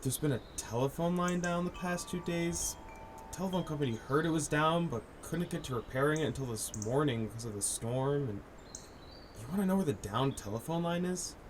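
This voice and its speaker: A man's voice: fairly high pitch, expressive, normal speed, noisy background, lightly acted. A man playing an uneasy, sceptical family man with a hesitant conversational manner in an audio drama. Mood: incredulous.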